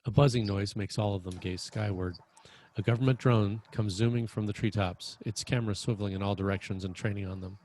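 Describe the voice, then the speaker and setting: A man's voice: on the low side, fairly even intonation, fairly quick, darkish tone, a little hiss or hum. A man narrating scripted screenplay stage directions at a table read in a matter-of-fact way.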